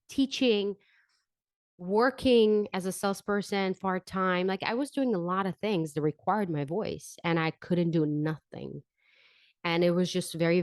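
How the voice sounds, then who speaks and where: A woman's voice: on the low side, darkish tone, no echo, studio quality. A woman hosting a podcast, telling a personal story in a candid, reflective way with emphatic repetition. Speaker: podcast host and vocal coach.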